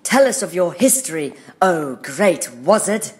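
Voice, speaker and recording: Woman playing low, valiant young adventurer. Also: sing-song, a little slow, darkish tone. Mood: solemn. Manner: declamatory, mock-heroic. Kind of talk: comic fantasy radio play.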